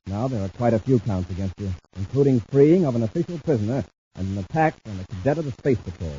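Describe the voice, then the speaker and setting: An actor playing a brave space patrol commander with an American accent, clipped and authoritative, in a 1950s radio adventure serial. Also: male voice, medium pitch, lively intonation, fast, close to an everyday voice. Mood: stern, commanding. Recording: dull old radio recording, loud noise.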